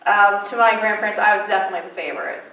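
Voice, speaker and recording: A woman in her twenties from western Pennsylvania, telling stories about her life in a casual interview, chatty and animated with many fillers. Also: medium pitch, very fast, muffled recording. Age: 20s. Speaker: guest. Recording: echoey room, mic at a distance.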